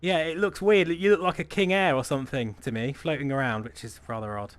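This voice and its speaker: A man's voice: on the high side, steady tone, a little fast, dry recording. A man hosting a live group flight stream, chatty and self-deprecating, laughing often. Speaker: stream host. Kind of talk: casual live-stream chat.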